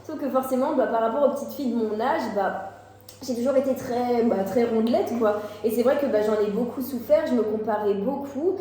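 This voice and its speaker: A woman's voice: on the high side, brisk, dark tone. A woman of twenty-two, a guest on the vlog, telling her own story candidly with frequent little fillers. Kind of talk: casual personal story. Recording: very echoey room, faint noise.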